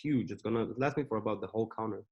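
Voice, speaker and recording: Relaxed man explaining his business. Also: on the low side, very fast, lo-fi sound. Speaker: company co-founder and CEO. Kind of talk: casual interview answers.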